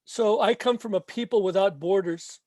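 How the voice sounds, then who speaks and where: A man from Mexico reads his poetry in a slow, emphatic chant that leans on repeated lines. His voice sits high for a man. The sound is dry and close, with no room around it. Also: darkish tone, no echo. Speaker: poet. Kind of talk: poetry reading.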